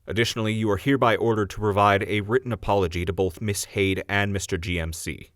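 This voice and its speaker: A man's voice: on the low side, steady tone, quick pace, fairly bright tone, no room sound, studio quality, lightly acted. A man voicing a stern town judge who speaks formally and scolds the defendants.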